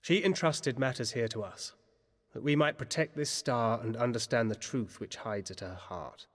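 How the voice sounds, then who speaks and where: A man's voice: mid-range voice, normal speed, a bit bright, lightly acted. A man acts a reassuring scholar in a fantasy game. His voice is mid-range, articulate and old-fashioned, with long hall reverb. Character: kindly, reassuring learned comrade with old-fashioned speech. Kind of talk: archaic fantasy game dialogue.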